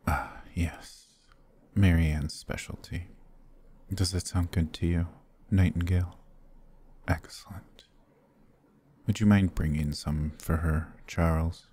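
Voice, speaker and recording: Man acting a very deep, husky vampire lord. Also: fairly even intonation, full character voice. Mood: courteous, attentive. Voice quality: husky, low. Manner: formal, measured, soft-spoken. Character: courtly, gentle, possessive male vampire lord. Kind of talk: ASMR fantasy roleplay.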